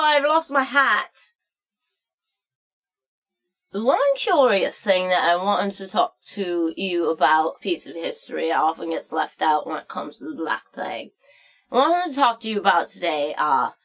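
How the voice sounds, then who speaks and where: Lady doing a boozy cranky old woman telling stories, slow and halting, rambles a lot, theatrical. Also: medium pitch, a bit bright, heavily acted. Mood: tipsy flustered. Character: crotchety, boozy, foul-mouthed old woman storyteller. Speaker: story-time host. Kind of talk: comic storybook narration and history lesson.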